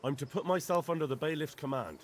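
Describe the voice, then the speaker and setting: An actor playing an earnest, dutiful young peasant recruit in a medieval video game. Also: male voice, on the high side, fast, a little hiss or hum, natural voice. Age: young adult.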